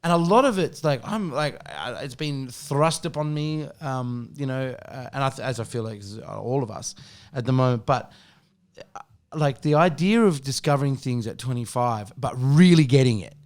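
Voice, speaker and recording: A man's voice: mid-range voice, normal speed, darkish tone. Man, thirties, host, medium voice, swears a lot, restarts often. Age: 30s. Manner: profane, rambling, many restarts. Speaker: podcast host. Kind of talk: casual chat.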